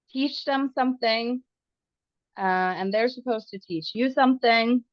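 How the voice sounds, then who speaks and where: Slow, low-voiced Norwegian woman, slightly creaky. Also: no room sound, dull audio. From Norway. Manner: halting, searching for words. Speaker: podcast co-host. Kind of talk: casual podcast conversation.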